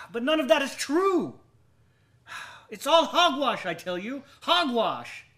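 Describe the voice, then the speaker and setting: A haunted, anxious gentleman in a gothic radio play. His voice sits high, with a bright, tense edge. He speaks theatrically in old-fashioned phrases, volatile and short of rest. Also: big pitch swings, slow pace, heavily acted. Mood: pleading, defensive. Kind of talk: radio drama acting with dramatic monologue.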